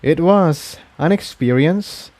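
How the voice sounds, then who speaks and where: A man's voice: on the high side, lively intonation, takes it slowly, darkish tone, no echo, voice pushed up. A man voicing a troubled but good-hearted male pony adventurer in a story reading. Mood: polite, uneasy.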